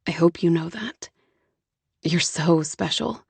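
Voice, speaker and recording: A woman putting on a tender young man in love, speaking low and hushed in an audiobook. His words come slow and coaxing, with a soft, gentle weight. The recording is dry and close. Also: gravelly, husky, dry recording, exaggerated voice.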